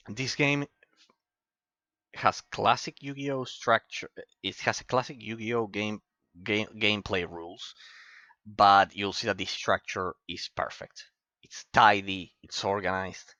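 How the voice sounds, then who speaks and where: A man's voice: sits low, pushed and bright, dry recording, highs cut off. A man with an Italian accent giving rambling, opinionated commentary on a game collection, with many restarts. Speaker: game reviewer. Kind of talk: casual commentary over game footage.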